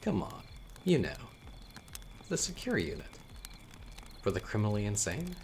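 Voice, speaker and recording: A man playing a chatty young storyteller with hidden menace in a horror audio roleplay, talking casually with wry asides and hesitant pauses. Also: on the low side, big pitch swings, a little mellow, slight background noise. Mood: casual, teasing.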